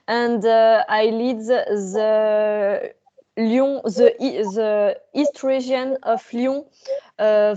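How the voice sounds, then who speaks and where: Slow, mellow young woman. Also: a little high, smooth, muffled recording. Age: 20s. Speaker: student interviewer.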